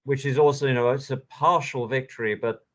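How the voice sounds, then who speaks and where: A man's voice: medium pitch, steady tone, a little fast. A man hosting a conversational interview, giving emphatic, impassioned opinions. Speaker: podcast host. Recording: dull lo-fi audio.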